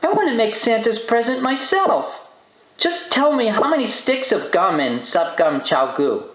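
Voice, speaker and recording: A narrator voicing an earnest, excitable young boy aardvark from a children's storybook. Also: mid-range voice, measured pace, pitched above the performer's own voice. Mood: anxious, insistent. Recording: loud music behind, roomy sound.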